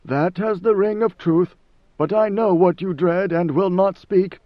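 A man voicing a commanding ancient god emperor who speaks in a grave, measured, lecturing way. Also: high voice, no room sound, dull audio, voice pushed up. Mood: stern.

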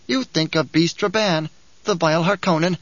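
A man's voice: on the high side, moderate pace, a bit bright, no echo, dull audio, pitched above the performer's own voice, lightly acted. A man reading an audiobook, voicing a whining, spiteful male dwarf who taunts and giggles. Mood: mocking.

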